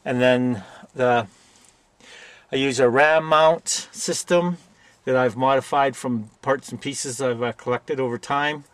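A man with a Canadian accent giving a casual, unscripted hands-on tutorial in a plainspoken, halting way. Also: medium pitch, steady tone, no echo. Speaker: DIY video presenter.

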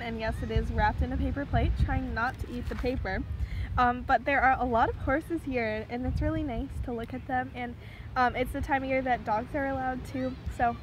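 A woman's voice: high-pitched, background noise. Chatty young woman vlogging on her phone. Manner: chatty, upbeat, many "like" and "um" fillers. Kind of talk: casual vlog chat. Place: home bathroom and kitchen, sunny beach outdoors. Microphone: phone camera at arm's length.

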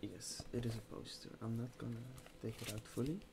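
A young man speaking softly in a hushed ASMR roleplay as a store clerk, with pauses between phrases. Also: medium pitch, fairly even intonation, gravelly, noisy background. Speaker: ASMR creator playing a game store clerk. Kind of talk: soft-spoken ASMR roleplay. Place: small room at a desk, indoors.

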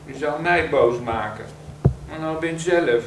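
A man's voice: fairly high pitch, a little slow, fairly bright tone. A man voicing a sensitive male pig who cannot get angry, talking in a plaintive, easily hurt way. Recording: echoey room, mic far off, noisy.

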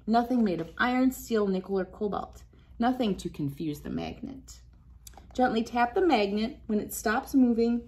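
Woman reading aloud to young children. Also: mid-range voice. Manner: instructive, addressing young children. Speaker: children's read-aloud host. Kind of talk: reading a picture book aloud with casual demonstration asides. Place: small wood-panelled room indoors.

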